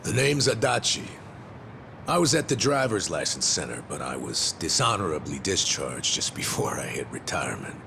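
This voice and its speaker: An actor playing a sardonic, determined older disgraced cop in a video game drama, with a folksy, taunting way of talking. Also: male voice, a bass voice, sing-song, creaky, background noise, full character voice. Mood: wry. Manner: taunting, folksy, drawn-out delivery. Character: gruff, sardonic older discharged male cop, smug and determined.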